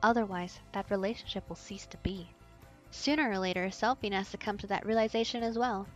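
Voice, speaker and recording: A woman's voice: mid-range voice, brisk. Female game streamer, casual read-aloud narration, mid-range voice, music underneath. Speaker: streamer reading a visual novel aloud.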